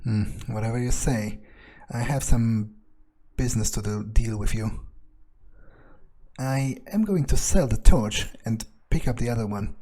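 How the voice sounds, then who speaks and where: Man playing a mellow, muttering cynical vampire. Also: medium pitch. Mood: musing, deliberating. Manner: musing, hesitant, casually profane. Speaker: player doing an in-character playthrough. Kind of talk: improvised in-character thinking aloud over gameplay.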